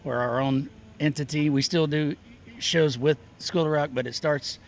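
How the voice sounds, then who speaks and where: A man's voice: a little high, fairly even intonation, moderate pace, a little hiss or hum. A middle-aged man from Oklahoma and Texas, a musician guest chatting casually and rambling through stories with many restarts at an outdoor festival. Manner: rambling, self-interrupting storyteller. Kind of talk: casual interview chat.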